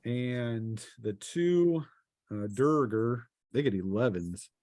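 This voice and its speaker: A man running a tabletop game as game master, improvising narration in a halting, piece-by-piece way. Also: mid-range voice, lively intonation, darkish tone, no room sound, clean studio sound. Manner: halting, piecing descriptions together aloud.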